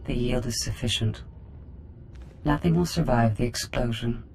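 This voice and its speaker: An actor playing a detached, analytical operative in a video game, clinical and precise. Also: male voice, mid-range voice, normal speed, a little mellow, faint music behind, lightly acted.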